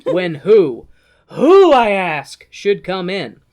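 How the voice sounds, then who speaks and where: A man's voice: high voice, big pitch swings, takes it slowly. A man co-hosting a podcast, giving a sardonic, sweary comic plot recap in a theatrical, chatty way. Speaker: podcast co-host.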